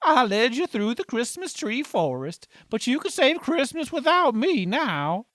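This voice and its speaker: A woman's voice: a little high, big pitch swings, unhurried, fairly bright tone, no room sound. A woman playing a dramatic, duty-bound forest ranger guide in a melodramatic radio play. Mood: solemn, regretful.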